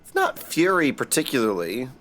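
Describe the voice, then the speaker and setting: Sarcastic streamer with lively pitch swings. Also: moderate pace. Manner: sarcastic, irreverent. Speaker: game streamer. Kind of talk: casual reactive gameplay commentary. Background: game music.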